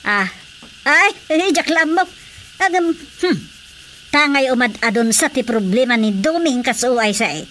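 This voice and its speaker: Lady acting as older filipino mom, coy and wistful, fairly high voice, radio drama, faint noise. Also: animated, a little slow, fairly bright tone. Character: older mother figure, chatty, wistful, coy.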